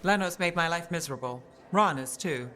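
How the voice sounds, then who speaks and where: A woman's voice: fairly low pitch, brisk, fairly bright tone, lightly acted. A woman playing a hard-nosed, cynical detective in a video game, terse and matter-of-fact. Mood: bitter. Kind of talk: video game character dialogue. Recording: music clearly behind the voice.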